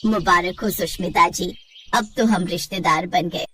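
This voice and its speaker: A woman's voice: on the low side, fairly even intonation, normal speed, noisy background, voice pushed down, natural voice. A woman voicing a humble middle-aged village mother, polite and deferential, in a cartoon moral story. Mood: courteous.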